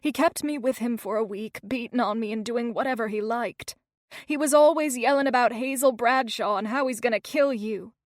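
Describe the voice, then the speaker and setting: A woman's voice: a little high, normal speed, no echo, clean studio sound, natural voice. Woman voicing Lizzie, a terrified teenage runaway. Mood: frightened. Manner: rough, ungrammatical speech. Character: frightened teenage girl, battered runaway.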